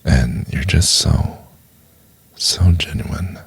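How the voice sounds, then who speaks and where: Very deep, gravelly seductive professor played by a man. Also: heavily acted. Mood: intimate, adoring. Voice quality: breathy, gravelly, very low, often near whisper.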